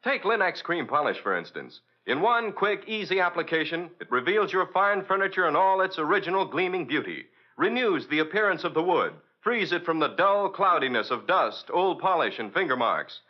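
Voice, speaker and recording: A man's voice: on the high side, sing-song, moderate pace, hardly any pauses, dull audio. A man with an American accent announcing in a polished, emphatic 1940s radio sponsor style, on an old broadcast recording.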